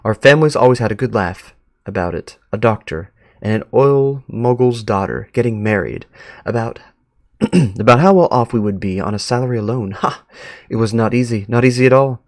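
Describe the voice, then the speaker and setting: A man's voice: mid-range voice, normal speed, dry recording, clean studio sound, natural voice. A man reading letters aloud as a sentimental, well-off doctor and devoted adoptive father, in formal, earnest words. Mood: sentimental, content.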